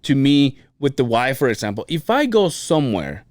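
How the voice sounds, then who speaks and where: A man co-hosting a podcast in casual chat, telling stories in an animated way, swearing freely and laughing often. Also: medium pitch, normal speed, no room sound. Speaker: podcast co-host.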